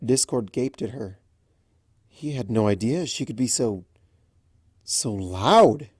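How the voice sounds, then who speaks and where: Story narrator, medium pitch, unhurried and very animated, close dry sound. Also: slightly rough, dry recording. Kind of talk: expressive scripted story narration.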